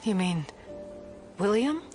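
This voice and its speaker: An actress playing a serious, skeptical federal agent in an audio drama, earnest and clipped. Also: female voice, medium pitch, sing-song, slow, warm tone, soft background music. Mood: concerned. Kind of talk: naturalistic audio drama acting.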